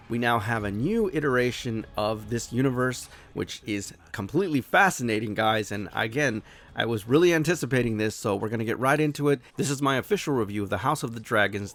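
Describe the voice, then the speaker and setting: A man hosting his own review show, talking casually and excitedly while a little hoarse from losing his voice. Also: mid-range voice. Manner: enthusiastic, animated, swears casually.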